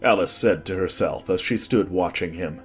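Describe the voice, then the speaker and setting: A man's voice: sits low, moderate pace, husky, soft background music. A man reading scripted storybook narration as the narrator of a dramatized audiobook.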